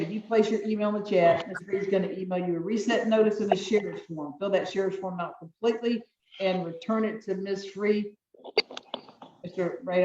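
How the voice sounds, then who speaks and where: A deep-voiced woman judge runs her calendar firmly and briskly, repeating instructions to each party. Her voice sounds distant and roomy, with dull, muffled video-call audio. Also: noisy background. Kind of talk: presiding over virtual court hearings.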